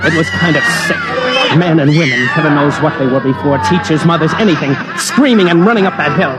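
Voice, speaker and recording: A man's voice: on the high side, huge pitch swings, fairly quick, a loud crowd, very noisy. An actor playing a cynical, wary newsman who narrates a 1950s radio drama in a terse, hard-boiled way. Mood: tense, horrified. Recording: old radio recording with music underneath.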